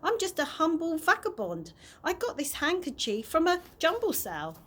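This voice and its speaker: A woman voicing a gentle, wistful old gentleman tramp in a storybook, speaking fondly and with genteel manners. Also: extremely high pitch, wildly swooping pitch, moderate pace, voice pushed up, full character voice. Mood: evasive.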